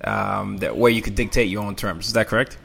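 A man's voice: lowish voice, fairly even intonation, fairly quick, creaky, fairly bright tone, breathy edge. A man hosting a podcast interview, upbeat and friendly, laughing easily as he asks his guest questions. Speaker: podcast host. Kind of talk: casual interview.

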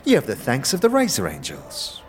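Man as a female gang ruffian, wildly swooping pitch, mock-polite, menacing, fantasy audio drama, music behind. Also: huge pitch swings, normal speed. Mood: politely menacing. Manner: theatrical, mock-courteous, archaic turns of phrase.

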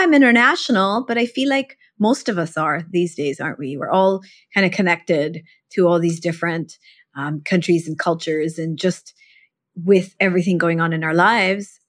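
A woman hosting a podcast, chatting enthusiastically and using plenty of casual fillers. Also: lowish voice. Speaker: podcast host. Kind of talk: casual conversational interview.

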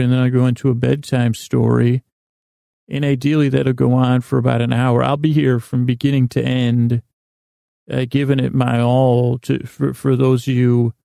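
A man's voice: medium pitch, warm tone, no room sound, studio quality. A man hosting a bedtime podcast in a meandering monologue, stammering and repeating words as he goes. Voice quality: gravelly, creaky. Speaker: podcast host. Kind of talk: rambling bedtime monologue to help listeners sleep.

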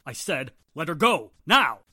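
Man as a forceful, animated police inspector. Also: on the high side, unhurried, breathy edge, full character voice. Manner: commanding, urgent. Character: forceful, gruff police inspector, heroic and protective. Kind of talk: 1940s radio drama acting.

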